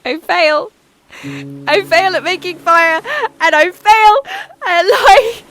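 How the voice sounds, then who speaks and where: A woman's voice: very high-pitched, slow, fairly bright tone, exaggerated voice. A woman playing an injured, despairing castaway alone on an island, speaking a raw, weary diary monologue in an audio drama. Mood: anguished, tearful. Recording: music behind the voice.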